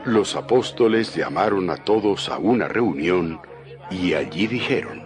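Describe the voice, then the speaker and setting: A man with a low voice narrates scripture in a dramatized style. His intonation moves widely as he reads the scripted story, and music plays clearly behind him. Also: lively intonation, a little slow.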